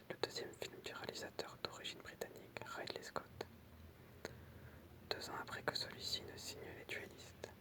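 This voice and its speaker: A person whispering in an ASMR style, reading out short pieces in a soft, explanatory way with casual asides. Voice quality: unvoiced, breathy whisper. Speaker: ASMR creator reading film trivia with commentary.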